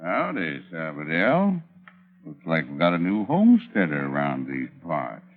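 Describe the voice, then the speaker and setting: Sly Western cowboy, folksy slow drawl, thin telephone-like vintage radio sound. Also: male voice, very deep voice, huge pitch swings, full character voice. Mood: sly, amused. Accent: American West. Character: sly, folksy American cowboy-talking busybody. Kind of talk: 1940s radio acting. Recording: tinny vintage radio recording.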